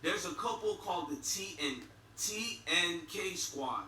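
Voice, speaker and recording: A man's voice: high voice, expressive, a little slow, sharp tone, slight background noise. A middle-aged man with a Haitian accent, giving a blunt, profane video commentary with mocking laughs. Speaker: YouTube commentator. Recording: echoey room, far from mic.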